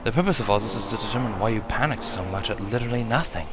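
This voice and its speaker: A man voicing a large female alicorn princess who speaks in a calm, formal, reassuring manner. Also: lowish voice, very fast, noisy background, lightly acted.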